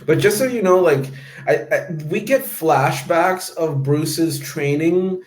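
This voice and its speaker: A man hosting a casual movie review chat, talking quickly and rambling with fillers and restarts. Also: fairly high pitch, roomy sound.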